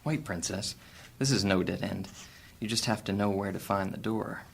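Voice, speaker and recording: An actor playing a cunning, guarded male master thief in an audio drama, speaking in a measured, sly way. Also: deep voice, gravelly, slightly rough, exaggerated voice.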